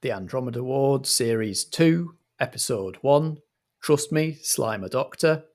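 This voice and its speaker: Measured British man as theatrical compere. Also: mid-range voice, lively intonation, darkish tone. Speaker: host and game master. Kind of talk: theatrical scripted narration and improvised radio comedy.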